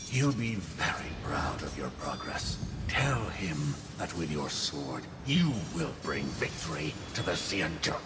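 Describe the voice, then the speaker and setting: An actor voicing a menacing old swordmaster in a video game, theatrical and taunting, with swells into force. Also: male voice, extremely low pitch, sing-song, a little slow, few pauses, heavily acted. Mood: sly, coaxing. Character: menacing old male swordmaster goading his young pupil. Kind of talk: dramatic video game voice acting. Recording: loud music under the voice.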